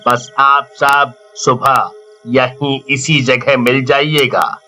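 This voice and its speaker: A man playing a grave, commanding story hero who investigates killings, speaking with deliberate emphasis in horror story dialogue. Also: mid-range voice, little pitch movement, sharp tone, faint music behind. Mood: grave, determined.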